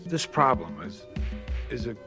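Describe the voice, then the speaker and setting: An actor playing an awkward, well-meaning boss in a 1980s radio drama, halting and stammering. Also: male voice, on the low side, big pitch swings, over music. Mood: awkward, concerned.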